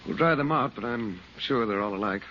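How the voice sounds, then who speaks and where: An actor playing a shrewd, composed uncle, a magician adventurer, in an old-time radio serial with grave, measured delivery. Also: male voice, mid-range voice, animated, pushed and bright. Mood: matter-of-fact. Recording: muffled, faintly noisy old radio recording.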